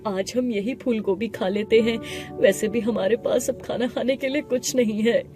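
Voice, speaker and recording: A woman voicing a humble, gentle village husband in an animated moral story, earnest and deferential, with music behind. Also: fairly high pitch, normal speed, lightly acted. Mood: gentle, reassuring. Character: humble poor husband, adult man, pleading and reassuring. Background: background music.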